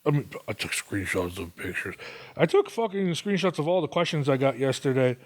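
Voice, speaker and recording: A man's voice: medium pitch, sing-song, a little fast. Rambling, profane man hosting a podcast. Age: 30s. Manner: rambling, profane, self-mocking, with fillers. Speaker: podcast host. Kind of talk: casual solo podcast monologue.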